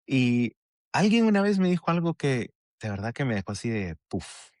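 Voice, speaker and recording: A man's voice: medium pitch, very animated, moderate pace, darkish tone, no echo, studio quality. A man hosting a friendly podcast interview, talking in a reflective, conversational way and often giving short affirmations. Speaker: podcast host. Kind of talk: casual interview chat.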